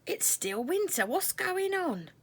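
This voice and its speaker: A woman's voice: very high-pitched, big pitch swings, slow, pitched above the performer's own voice, full character voice. A woman voicing a friendly, sleepy male caterpillar in a children's storybook, in a drowsy, yawning manner. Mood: shivering, puzzled. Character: friendly sleepy male caterpillar turned moth, gentle and kindly.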